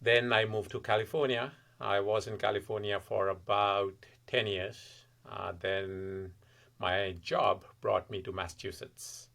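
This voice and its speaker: A man in his forties from India, with an Indian accent, a podcast guest recounting his family's story in a measured, reflective way. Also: fairly low pitch, fairly even intonation, creaky, fairly bright tone. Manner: measured, reflective, frequent uh fillers. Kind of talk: personal storytelling in interview.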